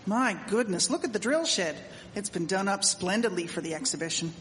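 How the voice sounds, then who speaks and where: A prim, exclamatory 1870s townswoman played by a woman in a period radio drama. Her voice is middle in pitch, with long hall reverb and slight background noise. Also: lively intonation, a little fast, fairly bright tone, close to an everyday voice. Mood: admiring.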